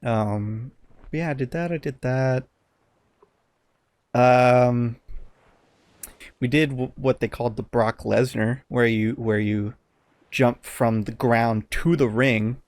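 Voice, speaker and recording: A man's voice: mid-range voice, fairly even intonation, takes it slowly, long pauses, darkish tone, dry recording. Man, podcast host, casual chat, hesitant delivery, very dry recording.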